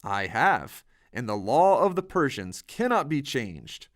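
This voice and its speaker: A man's voice: mid-range voice, very animated, a little slow. A man voicing a proud, commanding Persian king in a Bible storybook reading. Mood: firm.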